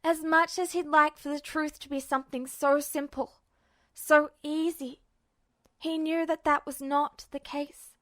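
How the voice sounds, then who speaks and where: High-voiced woman narrating fan fiction. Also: little pitch movement, fairly bright tone, dry recording. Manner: emotive, measured narration. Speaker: podfic reader.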